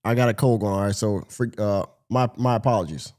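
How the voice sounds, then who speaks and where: A man's voice: on the low side, fast talker, darkish tone. A man with a cold, sounding nasal, casually hosting a livestream and talking loosely to his viewers. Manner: loose, rambling, talks to viewers. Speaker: podcast host.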